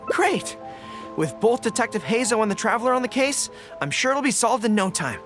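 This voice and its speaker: A courteous, earnest young general, his fairly high voice measured and formal. Soft music plays behind the game dialogue. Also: big pitch swings.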